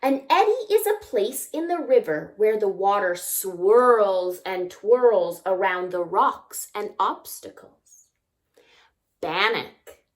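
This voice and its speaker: A woman reads a picture book aloud for children in a fairly high voice. Her delivery is very slow, with animated, swooping intonation. She addresses young listeners directly with simple questions. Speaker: children's story time reader.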